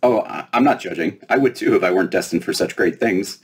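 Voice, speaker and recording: A man's voice: low voice, fast, husky. A man reading a children's storybook, voicing a small, proud, teasing fairy princess who is breezy and self-important. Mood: breezy, smug. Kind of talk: children's storybook read aloud.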